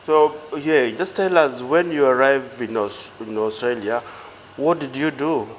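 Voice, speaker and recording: A man interviewing someone casually, asking halting questions that he often rephrases. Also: medium pitch, low-quality recording. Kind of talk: casual interview with frequent backchannels. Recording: faint background noise.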